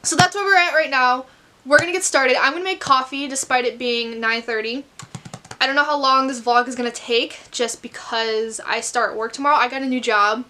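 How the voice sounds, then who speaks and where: A young woman talking casually to her camera at home about a book she is reading, chatty and candid, with quick asides and casual swearing. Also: sits high, fairly even intonation, pushed and bright, a little hiss or hum. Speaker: book vlogger. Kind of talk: casual vlog chat to camera. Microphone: camera mic.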